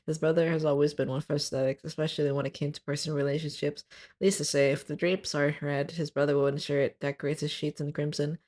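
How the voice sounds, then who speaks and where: A woman streamer reading her own fiction aloud, stopping often to fix her wording. Her voice is going hoarse. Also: low-pitched, flat delivery, fast, a little mellow. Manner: stops often to correct her wording, laughs at slips. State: losing her voice.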